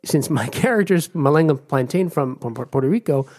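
A man's voice: a little high, animated, a little fast, a little mellow. A man co-hosting a casual podcast, playing in a tabletop game and teasing his friends. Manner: joking, teasing, eager. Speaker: co-host, player.

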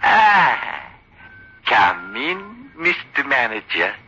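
A man playing a sly, taunting ventriloquist's dummy with a husky, pinched voice in a 1960s horror radio drama. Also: medium pitch, wildly swooping pitch, very slow, bright, forceful tone, full character voice. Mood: sly, menacing. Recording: tinny, band-limited old radio recording.

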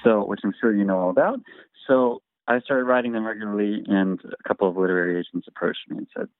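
A relaxed man in his forties, speaking a little low as he answers interview questions in a modest, easygoing way. His voice comes through a thin, phone-like line with no room sound at all. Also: fast talker, dry recording. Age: 40s. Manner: easygoing and modest, chuckles often. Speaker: guest, singer and memoir author. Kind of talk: casual interview chat.

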